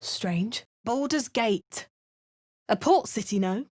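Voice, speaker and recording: A woman's voice: fairly high pitch, slow pace. A woman with an English accent voicing a hardened, wry female adventurer in a video game, making dry remarks and chanting spells. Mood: grim. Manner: chants spell words in a lively, sing-song way. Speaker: player character.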